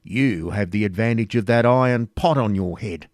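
A man's voice: fairly low pitch, moderate pace, darkish tone, no room sound, clean studio sound, close to an everyday voice. A man voicing a burly, belligerent medieval friar in a storybook reading, bombastic and archaic in his speech. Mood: defiant.

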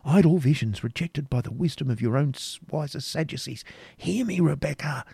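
Man doing a templar knight, proud and passionate, pitched above the reader's own voice, gravelly edge. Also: big pitch swings, takes it slowly, no echo, whispered, full character voice. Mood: urgent.

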